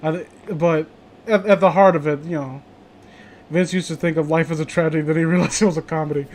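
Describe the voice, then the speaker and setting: A man's voice: fairly high pitch, fairly even intonation, fast talker, darkish tone. A man chatting casually as a host, rambling, blunt and opinionated, with frequent swearing. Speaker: host of a casual group chat.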